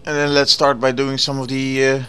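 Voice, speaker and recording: A Dutch man with a Dutch accent, a native Dutch speaker, giving relaxed, chatty commentary over gameplay and sometimes searching for words. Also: mid-range voice, normal speed, clean tone. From Netherlands. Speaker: gaming channel host.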